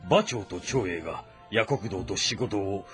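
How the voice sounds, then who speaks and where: A man playing a composed, loyal strategist, calm and deferential in an audio drama. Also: fairly low pitch, measured pace. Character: composed, loyal military strategist advising his lord. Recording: music behind dialogue.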